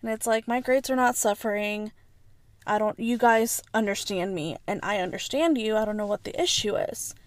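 Young woman, native Spanish speaker, earnest and reflective co-host, mid pitch, dry close sound. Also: normal speed, dry recording. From United States. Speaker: podcast co-host. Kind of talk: casual chat.

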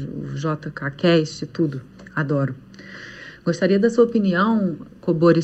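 A woman from Rio de Janeiro sends a listener question to a podcast, reading it out in a polite, formal way. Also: lowish voice. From Rio de Janeiro, Brazil. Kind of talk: recorded voice-message question.

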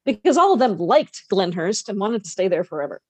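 A woman's voice: mid-range voice, sing-song, a little fast, a little mellow, breathy edge, dry recording. A woman co-hosting a podcast, expressively reading a novel aloud with casual, conversational asides.

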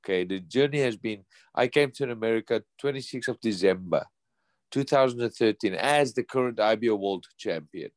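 A man's voice: fairly low pitch, lively intonation, moderate pace, no echo. A professional boxer in his thirties answering interview questions earnestly, often repeating and restarting words. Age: 30s.

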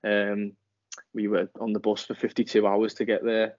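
A man's voice: fairly low pitch, completely flat delivery, a little mellow, dry recording, dull audio. A young man with a Liverpool accent, a student footballer chatting as an interview guest, often restarting his sentences. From near Liverpool, England. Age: young adult. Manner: halting, many restarts. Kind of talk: casual interview chat.

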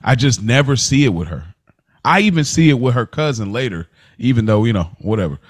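A man's voice: mid-range voice, expressive, a little fast. An American man hosting a podcast, chatting casually as he reviews television. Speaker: podcast host.

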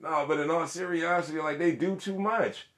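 A man co-hosting a casual chat, brash and joking with crude banter, who calls his voice monotone. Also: fairly high pitch, moderate pace, reverberant. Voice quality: monotone delivery. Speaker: podcast co-host.

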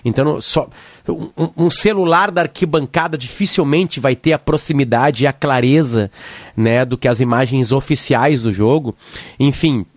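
Male interviewer, gaúcho accent, conversational and halting, repeats words, close dry recording. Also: medium pitch, no echo. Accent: southern Brazilian, Rio Grande do Sul. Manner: halting, repeats words while forming questions. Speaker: radio show host.

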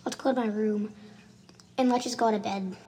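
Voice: medium pitch, steady tone, moderate pace, mellow, lightly acted. A performer playing an earnest, insistent schoolboy in an amateur radio drama. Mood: nervous, urgent. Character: Timmy, earnest schoolboy who insists on what he saw. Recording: home recording with clear noise and clicks.

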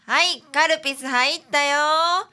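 Woman playing bright, chatty Kansai detective's assistant. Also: sits high, a little slow. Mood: caring, fussing. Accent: Japanese, Kansai. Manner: chatty, fussing. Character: caring, teasing young female detective assistant. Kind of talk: radio drama acting.

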